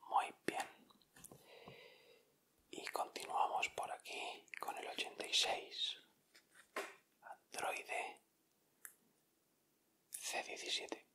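A man whispering softly and deliberately in an ASMR style. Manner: soft, unhurried, deliberate narration of each item. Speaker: ASMR video creator. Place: indoors at a table.

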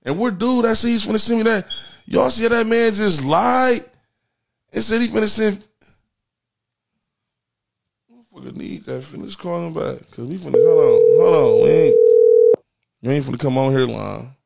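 A man's voice: high voice, moderate pace, gravelly, pauses often, a little mellow, slight background noise. An American man in his thirties hosting a comedy livestream with casual, profane, repetitive slangy banter. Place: indoor studio in front of a printed backdrop.

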